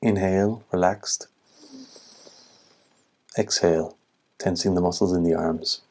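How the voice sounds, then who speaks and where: A man giving guided meditation instruction as a teacher, in measured short phrases with long pauses. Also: sits low, fairly even intonation, a little mellow.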